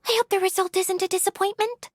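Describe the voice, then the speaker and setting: A woman's voice: extremely high pitch, fairly even intonation, fast talker, a little hiss or hum. A woman playing a modest, helpful young costume maker in a game cutscene, polite and self-effacing. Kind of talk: animated game cutscene acting.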